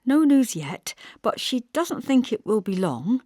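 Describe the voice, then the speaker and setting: Woman acting a gentle daughter, brightly lifted voice. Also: a little high, very animated, unhurried, dry recording, clean studio sound, voice pushed up. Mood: guarded, reassuring. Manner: brightly reassuring, hesitant. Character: dutiful young country daughter, gentle, guarded, reassuring.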